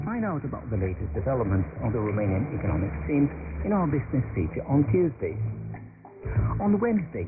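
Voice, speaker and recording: A man announcing radio programmes from a script, heard over shortwave radio. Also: mid-range voice. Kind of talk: scripted programme promo narration. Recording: noisy narrow-band shortwave radio broadcast.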